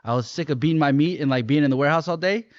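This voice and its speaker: Fast-talking man in his thirties. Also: fairly high pitch, dry recording, muffled recording. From Atlanta, USA. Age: 30s. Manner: quick, joking, laid-back slang. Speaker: podcast host. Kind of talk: casual podcast interview.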